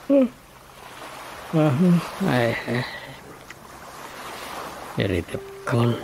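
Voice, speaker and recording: An actor with an Ilocano Filipino accent playing an excitable, suspicious, grumbling village man in a radio drama comedy. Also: mid-range voice, creaky.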